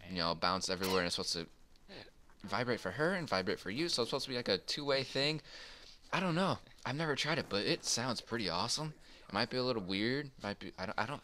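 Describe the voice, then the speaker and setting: A man's voice: fairly low pitch, bright, forceful tone. A young man rambling casually through a personal story in an informal, crude, amused way. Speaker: storyteller in a gaming video. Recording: faint background noise.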